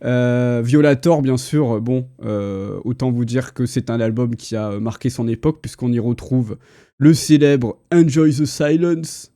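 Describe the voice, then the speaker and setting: A man's voice: mid-range voice, measured pace, dark tone, no room sound, clean studio sound. A man, hosting a casual, slangy pop culture livestream chat with enthusiasm. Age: 30s. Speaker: host. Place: indoor livestream.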